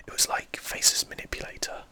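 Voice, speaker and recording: A man whispers breathy, unvoiced ASMR game commentary, musing to himself as he thinks aloud. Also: quick pace, pushed and bright. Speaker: gameplay streamer, host.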